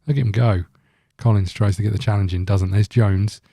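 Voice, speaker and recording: A man's voice: lowish voice, fairly even intonation, brisk, gravelly, warm tone, dry recording, clean studio sound. A man hosting a gaming video, giving casual let's-play commentary in a rambling, thinking-aloud way.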